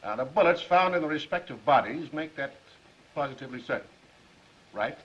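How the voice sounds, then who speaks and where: An actor playing a shrewd district attorney in a 1930s film, questioning a suspect with deliberate, mock-polite insistence. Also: male voice, on the high side, animated, a bit bright. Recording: muffled 1930s film soundtrack.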